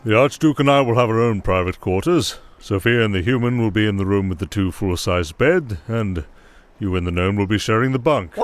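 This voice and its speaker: A man's voice: fairly low pitch, fairly quick, a little hiss or hum, natural voice. A man playing a stern, prim aide in a fantasy audio drama, dry, clipped and disapproving. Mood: dry, formal. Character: stern, prim male aide, exasperated older brother. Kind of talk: scripted fantasy audio roleplay.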